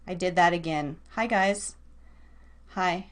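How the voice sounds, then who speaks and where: A woman's voice: fairly low pitch, slow, heavy background noise. A woman hosting a live radio show, chatting informally and rambling in a self-conscious way. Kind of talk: casual live show chat.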